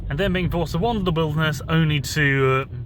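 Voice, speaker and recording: A man's voice: fairly high pitch, brisk, noisy background. A man musing aloud informally and retelling stories, thinking as he goes and correcting himself. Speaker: solo commentator on Bible and fairy tales.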